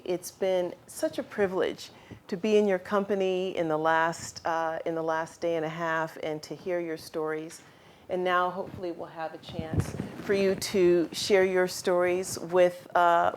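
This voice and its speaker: A woman author moderating a live panel, talking informally. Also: fairly low pitch.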